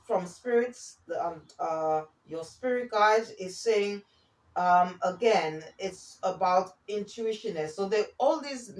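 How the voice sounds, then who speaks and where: A woman giving a spiritual card reading in a halting manner, often checking in with the listener as she reads and improvises. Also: medium pitch. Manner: hesitant, halting, often checking in with okay and all right. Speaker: oracle card reader and spiritual guide. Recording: roomy echo, distant from the mic.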